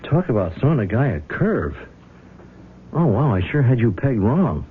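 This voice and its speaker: An actor playing a cornered, bitter male schemer in an excitable 1970s radio drama style. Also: medium pitch, moderate pace, close to an everyday voice. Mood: bewildered, coaxing. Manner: excitable, stammering under pressure. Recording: tinny old radio broadcast, faint noise.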